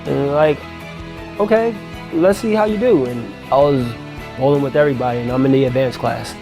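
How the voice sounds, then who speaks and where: A man giving an excited, quick patient testimonial. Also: mid-range voice, gravelly, rough recording. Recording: music bed under voice.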